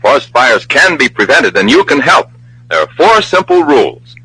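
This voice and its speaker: A man's voice: medium pitch, big pitch swings, normal speed, bright, forceful tone, breathy edge, dry recording, poor audio. A man announcing a scripted public service message in emphatic, declamatory 1940s radio style.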